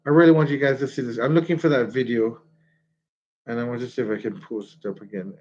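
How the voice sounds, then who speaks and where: Man, stuffy nose, rambling livestream chatter, middle pitch, muffled phone audio. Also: steady tone, fast talker, a little mellow. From Jamaica. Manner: rambling, chatty, laughs often while telling stories. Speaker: vlogger. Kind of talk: casual livestream chat.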